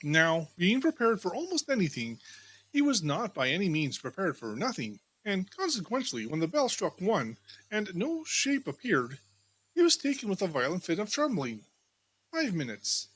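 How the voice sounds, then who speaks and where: A woman storybook reader giving scripted, expressive audiobook narration of a classic tale. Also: on the low side, moderate pace, a little hiss or hum, low-quality recording.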